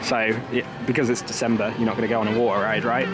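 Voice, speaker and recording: A man's voice: lowish voice, little pitch movement, fast. A young British man chatting casually and informally to viewers as a travel vlogger, recorded outdoors on a handheld camera. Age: young adult. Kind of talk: casual vlog chat. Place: outdoors in a theme park. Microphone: handheld camera at arm's length. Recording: noisy busy outdoor crowd ambience. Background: crowd and outdoor park noise.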